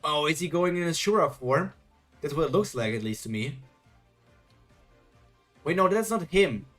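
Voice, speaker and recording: A man's voice: fairly high pitch, expressive, normal speed, fairly bright tone, faint music behind. A man chatting casually while reacting to a webtoon on a live stream and reading chat. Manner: chatty, self-questioning, reads chat aloud.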